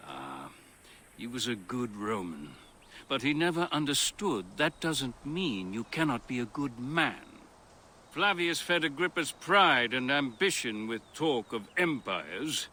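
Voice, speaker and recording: A learned Roman builder for a video game. His voice is bright and swings widely in pitch, with formal, earnest, theatrical phrasing. Faint ambience sits behind him. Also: sing-song, unhurried, a little hiss or hum. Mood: grave. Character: earnest, educated Roman male architect, past his youth, conscientious. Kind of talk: video game cutscene dialogue.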